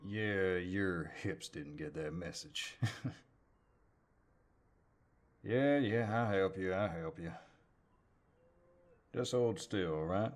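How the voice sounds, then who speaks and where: Man playing a slow, deep-voiced Southern cowboy. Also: animated, exaggerated voice. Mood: amused, teasing. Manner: drawling, hesitant, gently teasing. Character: handsome, polite, teasing young cowboy neighbor. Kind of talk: audio roleplay, intimate one-sided dialogue.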